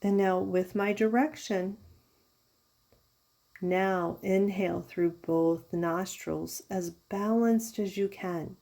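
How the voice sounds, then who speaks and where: A woman leading a guided yoga nidra meditation as a teacher, giving soothing, unhurried instructions with pauses. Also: fairly low pitch, gravelly, mellow. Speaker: yoga therapist guiding a meditation.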